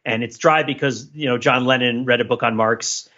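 A man's voice: mid-range voice, steady tone, normal speed, pushed and bright, muffled recording. A man hosting a casual podcast discussion, thinking aloud in a rambling, playfully analytical way. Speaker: podcast host.

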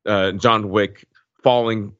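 A man co-hosting a podcast, analysing film casually in halting phrases and checking his points with "right?". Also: lowish voice, steady tone, fairly bright tone, no echo, muffled recording. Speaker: podcast co-host.

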